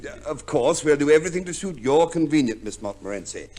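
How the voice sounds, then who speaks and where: An actor playing a businesslike, courteous divorce agent in an old radio comedy play. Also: male voice, fairly high pitch, expressive, fast, warm tone, lightly acted. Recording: noisy vintage radio play recording.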